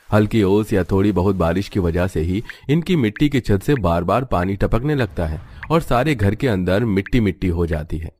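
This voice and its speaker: A man's voice: lowish voice, mellow, no room sound. A man reading scripted narration for a moral story as its narrator.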